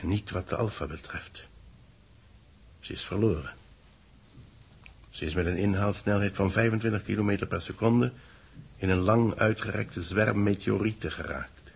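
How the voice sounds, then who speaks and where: An actor playing a grave space-mission official in a 1960s radio play, speaking solemnly and deliberately in a husky, gravelly voice. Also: male voice, on the low side, exaggerated voice. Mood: grave, resigned.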